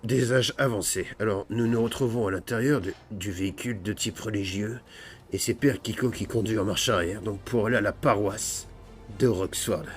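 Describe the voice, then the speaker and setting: Man playing a low-voiced pompous old priest over music. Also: normal speed, lightly acted. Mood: mock-solemn. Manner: mock-solemn, bureaucratic turns of phrase. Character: elderly pious ex-convict priest, pompous and absurdly formal. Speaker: gaming roleplay streamer. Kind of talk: improvised comic roleplay narration.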